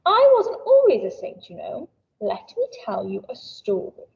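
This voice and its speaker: A woman voicing Saint Paul as a friendly storyteller in a school assembly, speaking slowly and playfully. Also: on the high side, huge pitch swings, vocal fry, big-room sound, full character voice. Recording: dull lo-fi sound, a bit distant.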